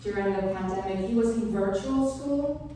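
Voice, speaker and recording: A woman's voice: medium pitch, normal speed, dark tone. A middle-aged woman from the Netherlands, a native Dutch speaker, doing a stand-up set with dry, sarcastic punchlines. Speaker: stand-up comedian. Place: comedy club stage. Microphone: handheld stage mic. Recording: roomy club sound from far off. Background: crowd laughter.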